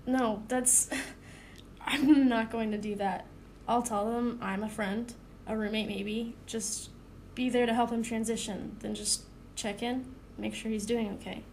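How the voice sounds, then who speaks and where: Actress as dependable young male student, audio drama: lightly high voice, sincere and conversational, some noise behind. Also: steady tone, a little slow, darkish tone. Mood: earnest, concerned. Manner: earnest, conversational.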